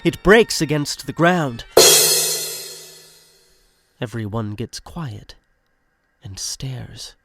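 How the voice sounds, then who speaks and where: A man's voice: medium pitch, animated, drawn-out words, long pauses. A man reading scripted stage directions as the narrator of an audio drama. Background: music.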